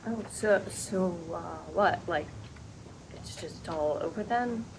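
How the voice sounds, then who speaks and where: A woman's voice: medium pitch, slow pace, a little mellow, lightly acted. An actress playing a sentimental, insistent woman holding her friends together, in a sitcom table read. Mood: hurt, sad. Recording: clearly noisy rehearsal room recording.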